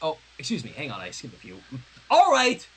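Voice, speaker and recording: A co-host reading fan fiction aloud in an animated storytelling style. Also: medium pitch, fast, husky, poor audio.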